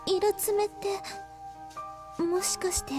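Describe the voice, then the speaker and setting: A woman's voice: very high voice, level tone, normal speed. A woman playing a timid, puzzled young shrine maiden in a Japanese visual novel, speaking hesitantly and politely. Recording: game voice over prominent music. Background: music.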